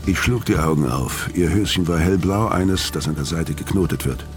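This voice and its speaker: A very deep male voice of an actor carries a gruff, world-weary criminal in a noir radio play. He is middle-aged and hard-boiled, speaking tersely and guardedly both in dialogue and first-person narration. Music plays clearly behind him. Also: moderate pace, lightly acted. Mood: brooding narration.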